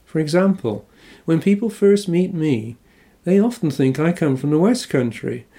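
Measured older English man reading an essay. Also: fairly high pitch, sing-song, dark tone. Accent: English, with a faint Irish R. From Wales. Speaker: novelist.

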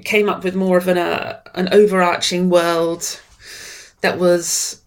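Middle-aged woman, lowish voice, enthusiastic guest, frequent restarts. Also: little pitch movement, slow. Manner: enthusiastic, many restarts and repeated words. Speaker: writer and guest. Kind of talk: conversational interview.

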